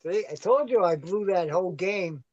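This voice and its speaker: A man's voice: high-pitched, expressive, normal speed, darkish tone. A man chatting casually as a guest on a hangout. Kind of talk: casual chat. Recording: dull lo-fi call audio.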